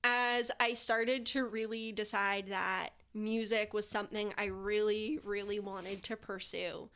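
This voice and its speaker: A woman's voice: medium pitch, fairly even intonation, unhurried, bright, forceful tone, smooth. A woman in her twenties, a guest on a podcast, talking in a chatty, filler-heavy way and retelling stories with animation. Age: 20s. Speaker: guest musician. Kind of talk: casual interview chat.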